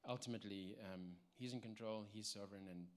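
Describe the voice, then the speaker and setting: Halting South African man, low voice. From South Africa, Cape Town. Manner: halting, many ums and restarts. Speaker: church member giving testimony. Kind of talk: personal testimony, unscripted.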